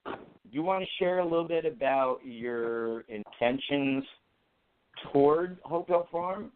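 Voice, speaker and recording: A man's voice: a little high, a little slow, smooth. Man hosting over a tinny phone line. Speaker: host. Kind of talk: casual moderating of a group call. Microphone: phone call.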